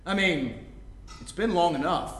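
A man acting out a cocky, athletic big brother in a live comedic play reading. His voice sits high for a man, and he talks in mocking, slangy jabs. The room around him is noticeably echoey. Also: a little slow, background noise. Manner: slangy, mocking, jock-like delivery. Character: brash, taunting, athletic man of thirty-two, needling younger brother. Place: indoor room before a live audience. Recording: roomy live stage recording.

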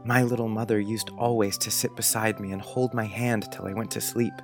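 A man's voice: lowish voice, little pitch movement, brisk, over music, close to an everyday voice. A man playing a sensitive, dreamy little schoolboy in a storybook, speaking in an earnest, wistful, confiding way. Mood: earnest, affectionate.

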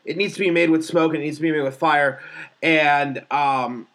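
A man hosting a relaxed conversation, speaking in a somewhat high voice. He stumbles over his openings and repeats words before finding his point. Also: moderate pace. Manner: stammering restarts, relaxed. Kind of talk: casual chat.

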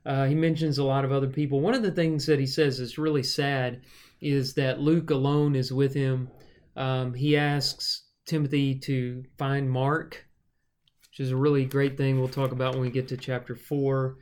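A man with a mid-pitched voice hosts a Bible study. He explains passages in a measured, conversational way. He shifts between reading verses and commenting on them as a teacher would. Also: flat delivery, a little mellow.

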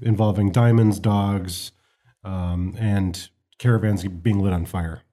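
A man's voice: deep voice, fairly even intonation, takes it slowly, mellow. An American man in a casual film discussion as a co-host, explaining things in a hesitant, rambling way. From United States.

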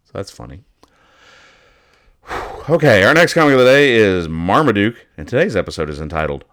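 Deep-voiced man, clear and casual. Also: sing-song, moderate pace, a bit bright. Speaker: host of a comics-reading show. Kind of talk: casual humorous commentary on newspaper comics.

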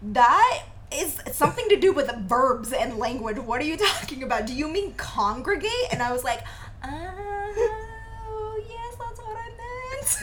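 Young woman podcaster, vietnamese is her first language, super lively voice, laughs a lot, a bit of hiss behind. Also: extremely high pitch, unhurried. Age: young adult. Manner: giggly, animated, laughs often. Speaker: podcast co-host. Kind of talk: casual storytelling chat.

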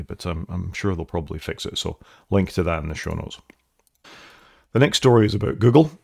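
A man's voice: low voice, creaky, dry recording. A man hosting a solo tech news podcast, chatting casually with dry asides and frequent self-corrections. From Wales. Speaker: podcast host.

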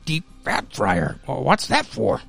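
A man's voice: medium pitch, wildly swooping pitch, slow pace, vocal fry, fairly bright tone, no room sound, soft background music, full character voice. A man voicing an agreeable, admiring restaurant business partner with a folksy manner.

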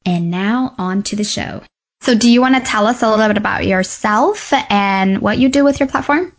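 A woman's voice: mid-range voice, normal speed. A woman hosting a podcast, introducing the show and putting questions to her guest. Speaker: podcast host. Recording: slightly dull, lo-fi audio.

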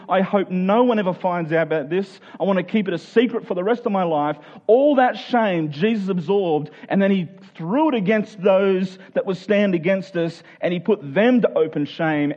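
A man with a fairly high voice delivers a sermon. He speaks earnestly, urging his listeners on and repeating short phrases for emphasis. The recording is somewhat dull, lacking crispness. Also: a little mellow. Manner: earnest, exhorting. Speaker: preacher. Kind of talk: sermon with scripture reading and prayer.

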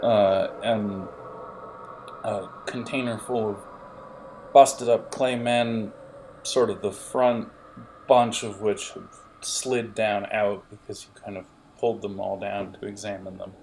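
A man narrating an improvised tabletop game as game master, in a halting, explanatory way with hesitations. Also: on the low side, steady tone, vocal fry, a little mellow, a little hiss or hum, poor audio.

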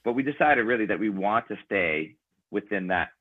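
A man's voice: lowish voice, animated, moderate pace, no echo. A man whose first language is Ukrainian, talking casually as an interview guest about his band, thoughtful and rambling with fillers. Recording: tinny phone-like line.

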